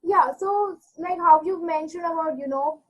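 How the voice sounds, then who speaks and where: A young female host keeps the talk moving with short agreements and friendly questions. Her voice is high and light. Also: unhurried, rough recording. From India. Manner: agreeable, encouraging, with short affirmations. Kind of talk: interview host.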